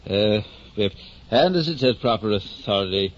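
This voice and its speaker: Bluffing innkeeper, male actor: bossy, mock-solemn, animated, 1950 radio drama, muffled with faint noise. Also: fairly low pitch, normal speed, natural voice. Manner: hesitant mock-solemn, blustering orders. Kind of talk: 1950 old-time radio comedy-drama acting. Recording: dull, lightly noisy old radio recording.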